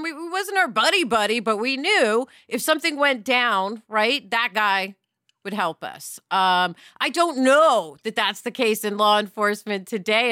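A woman in her fifties from New York City talks through a podcast discussion with lively, opinionated energy. Her voice is bright and crisp, pitched a little high, and the recording is close and dry. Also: no echo. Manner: animated, opinionated, jumps in. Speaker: podcast co-host. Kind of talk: casual discussion.

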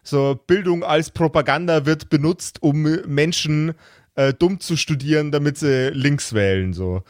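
Male podcast game master, slightly high voice, very dry close sound, casual and searching delivery with repeated words. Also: unhurried, no echo. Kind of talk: casual world-building discussion for a role-playing podcast.

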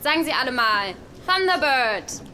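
An actress playing a brisk, polite photographer in an audio drama, with an instructing manner. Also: female voice, high voice, big pitch swings, heavy background noise. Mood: chirpy. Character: brisk polite woman photographer directing a group.

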